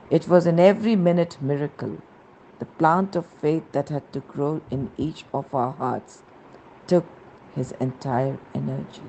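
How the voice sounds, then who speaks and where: Low, creaky-voiced woman reading devotional text. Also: dark tone, slight background noise, rough recording. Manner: reverent, measured, emphatic phrasing. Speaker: narrator reading a devotional book. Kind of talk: devotional scripted narration.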